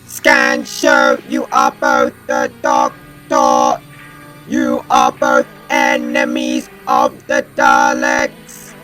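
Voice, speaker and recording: Casting: ruthless alien war machine, high robotic shriek, very slow barked commands, audio drama. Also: male voice, huge pitch swings, sharp tone, faint music behind, exaggerated voice. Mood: shrieking rage. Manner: staccato, drawn-out shrieked commands. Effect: harsh robotic voice modulation.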